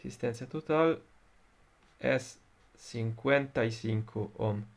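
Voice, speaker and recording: A man's voice: medium pitch, creaky. Italian man tutoring in careful Spanish. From Italy. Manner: deliberate, halting, many fillers. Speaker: electronics tutor. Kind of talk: explaining circuits at a whiteboard.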